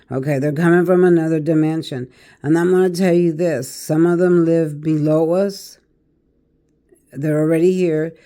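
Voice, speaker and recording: An older woman with a naturally raspy, hoarse voice, a tarot reader giving a casual, preachy monologue to camera and often checking in with "okay" and "all right.". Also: low voice, mellow.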